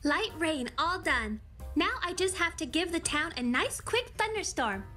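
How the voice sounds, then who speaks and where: A woman voicing a bubbly, excitable young female weather fairy in an anime dub, given to flustered exclamations. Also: very high voice, measured pace, heavily acted. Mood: cheerful. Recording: loud music under the voice.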